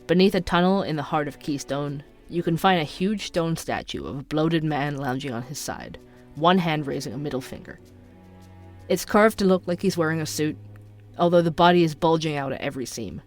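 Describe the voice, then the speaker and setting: An actor playing a dogged, wry young male investigative podcaster in an audio drama, with a dry, measured, sardonic delivery. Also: mid-range voice, soft background music, close to an everyday voice. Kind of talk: scripted narration and dialogue, audio drama.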